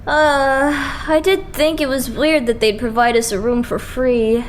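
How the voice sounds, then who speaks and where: A woman voicing a blunt, excitable, skeptical young idol in an anime fandub. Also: sits high, a little slow, a little hiss or hum. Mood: puzzled. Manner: blunt, expressive. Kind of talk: anime game fandub acting.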